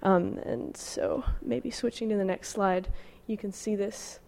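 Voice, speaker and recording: A woman giving a scholarly academic talk, presenting research and reading from texts in a careful, somewhat halting way. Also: medium pitch, creaky, darkish tone. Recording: long hall reverb.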